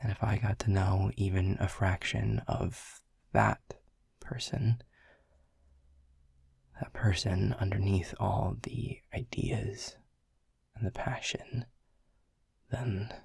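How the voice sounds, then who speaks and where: A world-weary, grumpy middle manager, played by a man in an audio roleplay, speaking low and unhurried in a breathy half-whisper. His gravelly, sardonic voice teases and curses lightly, dry and close. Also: steady tone, no room sound, heavily acted. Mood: earnest, wistful. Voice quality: hushed, breathy. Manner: sardonic, teasing, swears casually. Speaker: boss.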